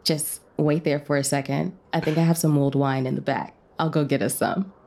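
A woman's voice: sits low, steady tone, a little fast, gravelly, warm tone. A woman acting as a friendly, teasing diner owner in a romance audio drama, with a casual, bantering manner. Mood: playful, friendly. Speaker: guest voice actor in an audio romance.

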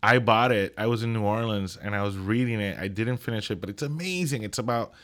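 A man, a guest in a casual interview who tells stories in an animated way, with many fillers and restarts. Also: on the low side, brisk. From Puerto Rico. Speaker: guest cinematographer.

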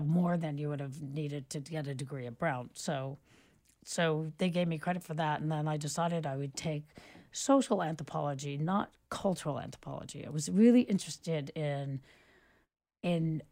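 A middle-aged woman with a notably low voice speaks as a guest in a relaxed interview. She rambles through her stories with frequent restarts and fillers. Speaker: guest, photographer and filmmaker. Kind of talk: relaxed conversational storytelling.

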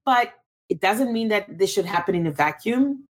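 A woman's voice: medium pitch, expressive, moderate pace, breathy edge. A woman from Cameroon, a guest in an interview, answering thoughtfully with frequent restarts and fillers.

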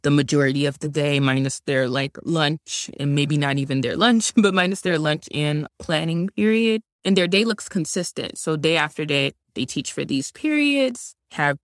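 A woman's voice: deep voice, normal speed, few pauses, no room sound. Guest voice: young woman, native Haitian Creole speaker, earnest and chatty, dry recording. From Georgia, US. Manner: earnest, explanatory, uses "like" often. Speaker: guest, science instructional coach. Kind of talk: conversational interview answers.

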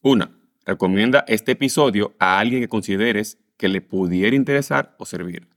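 A man with a Dominican accent, a guest co-host reading a friendly podcast call to action and spelling things out slowly. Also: lowish voice. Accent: Dominican Spanish.

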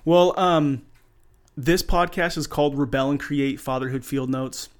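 A man's voice: medium pitch, a little slow. A man hosting a podcast, asking questions in a friendly, affirming, casual way. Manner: friendly, affirming, short prompts. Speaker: podcast host. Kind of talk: casual interview chat.

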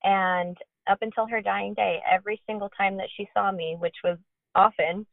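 A woman's voice: medium pitch, fairly even intonation, moderate pace, creaky, dry recording. Woman on a tinny phone-like line. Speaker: podcast co-host. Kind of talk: casual conversational podcast.

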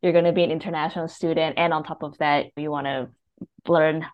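A woman's voice: deep voice, little pitch movement, brisk, dull audio. A woman asking questions as the interviewer in a casual, conversational style.

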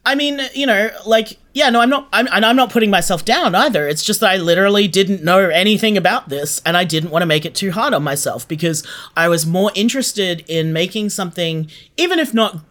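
A podcast creator with a medium-pitched voice talks casually as an interview guest. The delivery is chatty and conversational, with thoughts worked out aloud and corrected along the way. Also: fairly quick, a bit bright.

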